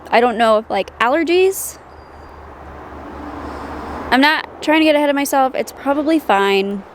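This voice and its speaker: A woman's voice: sits high, animated, a little slow. A woman with a nasally voice chatting casually to the camera in a car, thinking aloud and unsure of things. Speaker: vlogger. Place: inside a car. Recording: loud car and road noise. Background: loud vehicle noise.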